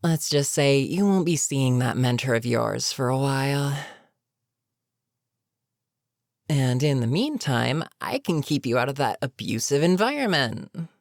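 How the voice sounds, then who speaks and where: A voice actor playing an older, experienced villain in an audio roleplay, weary and dryly sarcastic but reluctantly protective, with a wry, deliberate delivery. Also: mid-range voice, expressive, no echo, clean studio sound, natural voice. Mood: calm, smug. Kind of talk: intimate audio roleplay monologue.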